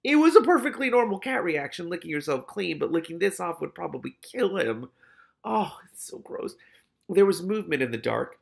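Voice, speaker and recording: A man's voice: high-pitched, sing-song, moderate pace, creaky. A man reading a novel aloud expressively, with casual, candid asides of his own.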